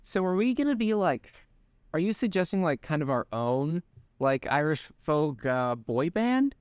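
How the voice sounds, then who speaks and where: A young man co-hosting a casual podcast, animated and swearing freely, often restarting sentences. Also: fairly high pitch, a little slow, clean tone, no echo, clean studio sound. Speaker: podcast co-host. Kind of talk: casual chat.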